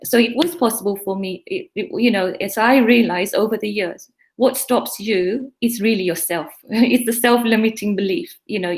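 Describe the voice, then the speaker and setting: A woman's voice: mid-range voice, a little mellow. A middle-aged woman from East Africa with a mixed East African and English accent, talking earnestly and reflectively as a guest in a casual interview. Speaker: guest, pharmacy owner.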